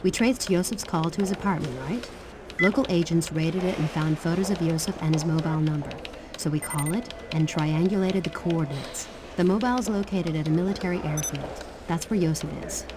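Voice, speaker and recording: A woman's voice: lowish voice, a little fast, background noise, natural voice. A woman playing a businesslike military handler in a game, giving clipped, formal, authoritative orders. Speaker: mission controller. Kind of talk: scripted military briefing.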